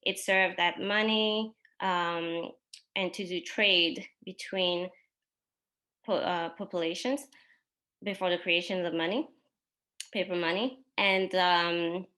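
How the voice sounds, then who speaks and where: A woman whose first language is French, possibly from Mali, presents her own artworks in an unhurried talk, pausing often with ums. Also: on the low side, fairly even intonation, sharp tone. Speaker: exhibiting artist.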